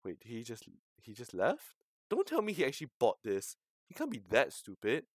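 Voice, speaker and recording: A man's voice: mid-range voice, huge pitch swings, moderate pace, breathy edge, no echo, clean studio sound. A man streaming, reading a visual novel aloud with dramatic narration and his own commentary.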